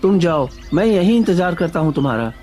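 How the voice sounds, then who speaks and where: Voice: mid-range voice, animated, fairly quick, warm tone, faint music behind, close to an everyday voice. A performer playing an honest, kind-hearted young village man in a Hindi moral story, speaking earnestly and devoutly. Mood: cautious.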